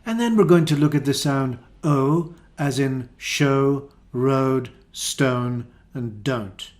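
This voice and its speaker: A Scottish man leads an English pronunciation lesson at a very slow, deliberate pace. He draws out each example word so learners can copy it, and his voice sits at a middle pitch. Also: a little mellow. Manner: slow, careful, drawn-out model words. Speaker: English teacher.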